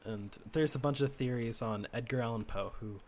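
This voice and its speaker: Young guy rambling while he games, mid pitch kept low on purpose, faint hiss behind. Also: moderate pace, a little mellow. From United States. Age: teens. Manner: rambling, musing, low-key; talks lower than his natural voice. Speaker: streamer. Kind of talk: casual chat while gaming.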